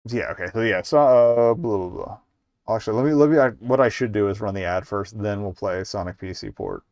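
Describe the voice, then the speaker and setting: A man's voice: fairly low pitch, brisk, darkish tone, no room sound. A man giving casual live game commentary as a streamer, rambling and hesitant, with muttered asides.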